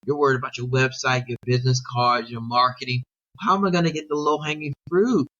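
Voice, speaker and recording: A middle-aged man who coaches sales talks in a mid-pitched voice, making emphatic points, repeating himself and throwing in rhetorical questions. The sound is slightly dull and lo-fi. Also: steady tone, brisk, sharp tone. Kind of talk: podcast interview.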